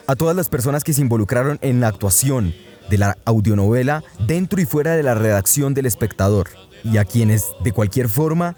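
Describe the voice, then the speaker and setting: A man's voice: mid-range voice, dark tone. A man with a Colombian accent reading scripted credits and thanks as a podcast announcer, in an orderly way.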